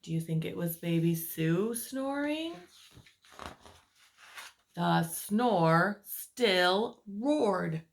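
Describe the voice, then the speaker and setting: A woman's voice: on the low side, lively intonation, very slow, gravelly, pauses often. A woman reading a picture book aloud to small children as a teacher would, stretching words for dramatic emphasis and adding asides to her listeners.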